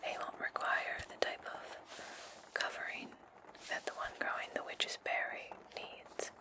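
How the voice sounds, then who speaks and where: A woman playing a calm, commanding, sly witch in a whispered ASMR fantasy roleplay, instructing her servant slowly and deliberately. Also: natural voice. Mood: hushed, instructive. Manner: slow, deliberate, hushed instruction.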